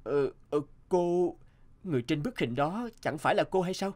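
An animated folktale hero, young, brave and kind, acted by a man. His delivery is earnest and slightly hesitant, with very lively swings in pitch. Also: normal speed, natural voice. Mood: startled, apologetic.

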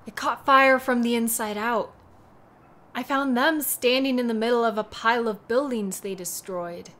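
A woman playing a devoted, worried young woman in an intimate audio roleplay, speaking tenderly and haltingly with a wistful manner. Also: fairly high pitch, fairly bright tone, lightly acted. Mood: anxious, shaken.

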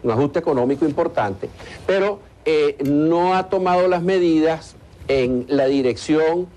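A man, giving hesitant, deliberate analysis in a television interview. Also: fairly high pitch, mellow. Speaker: economic analyst being interviewed. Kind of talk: live television news interview. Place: TV studio in Caracas.

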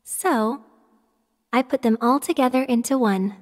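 An actress playing a shy, tender young woman in a video game, speaking softly and gently. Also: on the high side, unhurried, close to an everyday voice. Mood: tender, wistful. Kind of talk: English video game dub acting. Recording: long dreamlike reverb.

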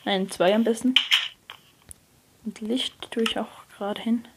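Hesitant young voice, noisy phone recording. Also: female voice, fairly high pitch, steady tone, a little slow. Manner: hesitant, self-correcting, often drops to a soft whisper. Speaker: let's player. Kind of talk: casual gameplay commentary. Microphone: phone screen recorder mic.

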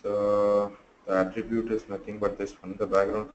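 A tired, sleepy man teaching a live online class, talking through his screen in a halting way full of fillers. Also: fairly low pitch, flat delivery, reverberant, muffled recording, slight background noise. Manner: halting, thinks aloud with many fillers. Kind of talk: live screen-share tutorial.

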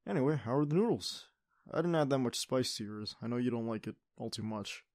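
A man's voice: mid-range voice, animated, fairly quick, darkish tone, dry recording, close to an everyday voice. Affectionate young boyfriend, acted by a man, close and dry. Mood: playful, coaxing. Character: affectionate teenage boyfriend, playful and tender. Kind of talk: ASMR boyfriend roleplay acting.

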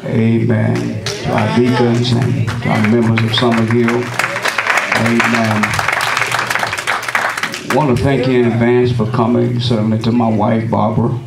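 A middle-aged man, a visiting pastor, opening his sermon and praying from the pulpit with a measured cadence and frequent amens. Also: medium pitch, level tone, creaky, loud music behind the voice, over a noisy crowd. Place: wood-paneled church sanctuary. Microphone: lectern microphone, camera at back of room. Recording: echoey room, distant camera, congregation responses.

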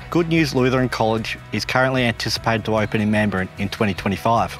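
An Australian man in his thirties with a slightly low voice delivers a smooth, upbeat corporate update to camera. He speaks outdoors in a park into a clip-on mic, with faint music playing underneath. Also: fairly bright tone. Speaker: development manager. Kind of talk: scripted project update to camera.

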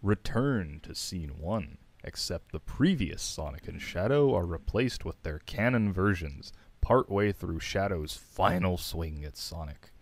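A man's voice: deep voice, expressive, a little slow, hardly any pauses, a little hiss or hum. A man reading scripted narration aloud as narrator of a fan fiction story, with casual asides. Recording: loud background music. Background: music.

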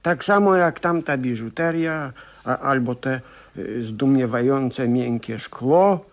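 An actor playing a fussy, deferential expert who stammers and hesitates in a 1970s radio play. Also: male voice, medium pitch, takes it slowly. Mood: nervous, hesitant. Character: timid, fussy male expert witness, deferential.

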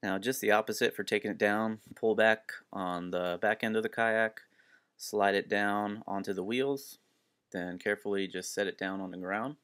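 A man's voice: fairly low pitch, fairly even intonation, moderate pace, a bit bright, clear, resonant tone, no room sound, clean studio sound. A man presenting a fishing channel, giving plain step-by-step instructions with frequent filler sounds. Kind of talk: instructional how-to narration. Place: outdoors on a home driveway.

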